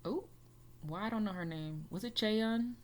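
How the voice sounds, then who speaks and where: A woman's voice: medium pitch, normal speed. A young woman chatting casually as she reacts to a music video, rambling with lots of fillers. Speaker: reaction YouTuber.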